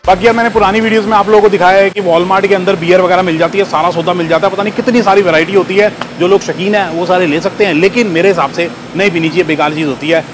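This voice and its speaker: A man's voice: sits high, steady tone, fast, few pauses, over music, low-quality recording. A man in his thirties chatting casually to camera while shopping in a large supermarket, reading out prices, filmed on a handheld phone. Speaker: vlogger. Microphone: phone held at arm's length.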